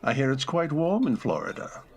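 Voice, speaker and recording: Man acting a husky, courteous, brooding gentleman. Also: medium pitch, huge pitch swings, moderate pace, exaggerated voice. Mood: formal, reserved. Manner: formal, polite, hesitant. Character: melancholy, courteous, reserved wealthy gentleman. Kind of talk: old-time radio drama acting.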